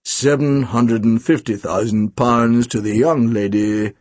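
A plummy, posh British auctioneer, played by a man reading a story presides formally over the sale. The upper-class vowels are stretched out slowly. The voice has a husky grain and a dry, close sound. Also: mid-range voice, fairly even intonation, darkish tone, dry recording, voice pushed down, natural voice. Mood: formal, mounting. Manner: slow, drawn-out, formal announcing. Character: plummy, posh male auctioneer calling bids.

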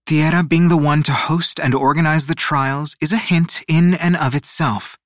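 A man's voice: a little high, steady tone, moderate pace, fairly bright tone, no echo, studio quality, pitched above the performer's own voice, lightly acted. A man voicing a composed, authoritative woman who leads young competitors in an audiobook, speaking in a formal, firm way.

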